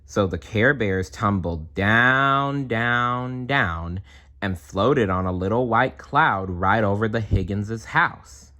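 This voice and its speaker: A man's voice: on the low side, takes it slowly, pushed and bright. A man reading a children's story aloud expressively, with casual asides and simple explanations. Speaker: storybook reader.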